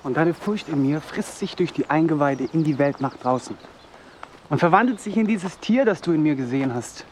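A man's voice: on the high side, animated, moderate pace, a little hiss or hum, natural voice. An actor playing a guarded, defiant young man in a radio play, speaking in a clipped, wary and challenging way. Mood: feverish, intense.

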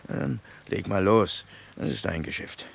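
Radio play: grumbling soldier sidekick, gravelly low register, sceptical and dryly sardonic. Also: male voice, lively intonation, moderate pace, heavily acted. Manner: sardonic, hesitant, muttering. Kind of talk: German radio play acting.

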